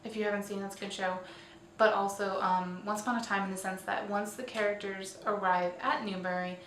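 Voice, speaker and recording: A woman's voice: mid-range voice, fairly even intonation, brisk, fairly bright tone. A woman hosting a casual, chatty roundup vlog, speaking informally and often correcting herself as she goes. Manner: informal, self-correcting, tentative on names. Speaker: host of a weekly online roleplay community show. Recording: echoey room, distant mic, faint hiss.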